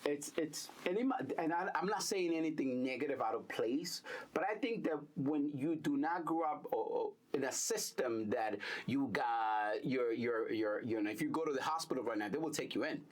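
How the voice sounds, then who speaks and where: Brief: man from Honduras, middle-aged, lively and animated, repeats himself. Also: mid-range voice, moderate pace. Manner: animated storytelling, repeats words, energetic. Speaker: real estate broker. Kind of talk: casual conversation.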